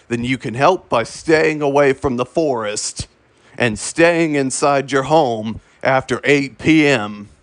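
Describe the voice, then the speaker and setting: A man's voice: mid-range voice, fairly bright tone, no echo. A man reading a scripted emergency warning as an announcer, stumbling over words and repeating himself. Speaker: emergency alert announcer in a hobby fictional broadcast.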